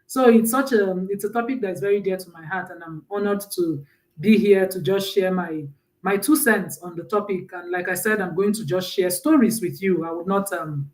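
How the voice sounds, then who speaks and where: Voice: mid-range voice, far from the mic, rough recording. A guest speaker from Nigeria with a Nigerian accent, telling personal stories in a conversational talk and often checking in with "right?".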